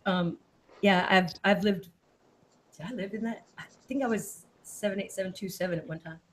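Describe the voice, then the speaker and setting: A woman's voice: medium pitch, moderate pace. Chatty woman hosting a live chat, noisy background. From Austin, Texas. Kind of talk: casual live chat, reading viewer comments aloud.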